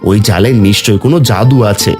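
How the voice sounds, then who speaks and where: A man's voice: fairly low pitch, moderate pace, music in the background, natural voice. A man voicing a contented village father and fisherman in a cartoon story.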